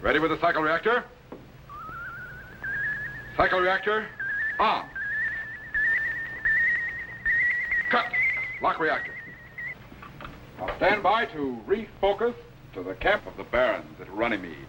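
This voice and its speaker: A man playing a brisk, authoritative scientist captain in 1950s television science fiction, instructing in a crisp and kindly way. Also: fairly high pitch, sing-song. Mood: brisk, commanding. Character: commanding, brisk male scientist captain of a time machine. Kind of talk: 1950s television sci-fi acting. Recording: slightly noisy old 1950s TV soundtrack.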